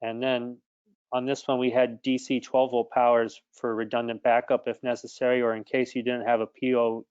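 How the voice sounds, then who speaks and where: A man with a smooth, clear voice explains audio gear as a guest expert. His intonation stays flat and even. The call audio is a little dull. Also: medium pitch, normal speed, no echo. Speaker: guest product expert from an audio equipment maker. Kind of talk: explanatory tech talk. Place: online video call.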